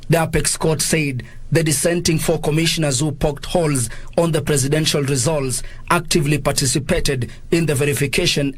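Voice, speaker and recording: A Kenyan man narrates a scripted news report. His voice sits somewhat high for a male speaker. The recording is tight and dry, with no room around it. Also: no room sound.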